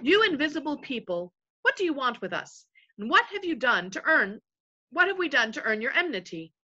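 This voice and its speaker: Woman voicing a bright, decisive young king. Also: fairly high pitch, animated, moderate pace, muffled recording. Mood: resolute.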